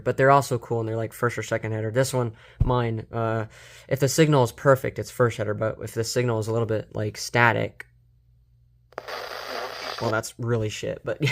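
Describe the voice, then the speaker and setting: Man, medium pitch, informal vlog show-and-tell, slangy, hesitant, rambling delivery. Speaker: hobbyist collector presenting a collection video.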